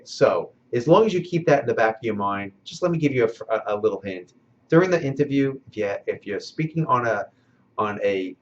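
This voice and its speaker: A man with a fairly low voice, recorded with slightly muffled sound. He gives off-the-cuff coaching as a recruiter, deliberate and emphatic, repeating points to make them stick. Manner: emphatic, deliberate, repeats key phrases for stress. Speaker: recruiter giving job-seeker advice. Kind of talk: unscripted instructional advice to camera. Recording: dull, band-limited sound.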